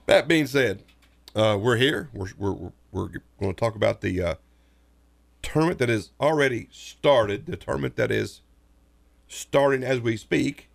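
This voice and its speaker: A man co-hosting a local sports radio show, hoarse from a sinus infection, rambling and repeating himself. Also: mid-range voice, animated, unhurried, dry recording. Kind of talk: casual sports talk. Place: radio studio. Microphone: studio microphone.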